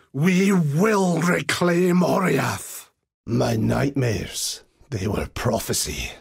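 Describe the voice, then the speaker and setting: A man voicing a devout, grim, righteous old templar in a video game, solemn and preacherly, with a gravelly, husky voice. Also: medium pitch, no echo, clean studio sound, exaggerated voice. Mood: stern, condemning, then grave, brooding. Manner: solemn, pious, archaic preacherly phrasing. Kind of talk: dramatic video game character voice lines.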